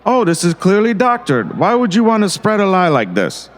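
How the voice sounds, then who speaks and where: A man's voice: high voice, wildly swooping pitch, moderate pace. A man playing a pompous, condescending small-town mayor in an audio drama. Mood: dismissive, blustering. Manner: smooth-talking, condescending. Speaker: voice actor.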